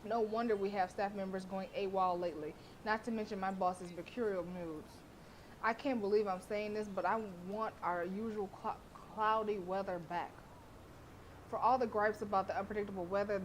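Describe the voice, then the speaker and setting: A woman's voice: medium pitch, fairly even intonation, moderate pace, slight background noise, poor audio. A woman streamer reading a visual novel aloud, dropping blunt, profane jokes between lines, over background music.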